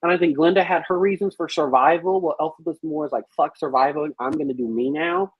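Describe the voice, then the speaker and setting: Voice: mid-range voice, fast talker, breathy edge. An emphatic speaker on a casual podcast panel, telling stories expressively and swearing freely. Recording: roomy, slightly muffled sound.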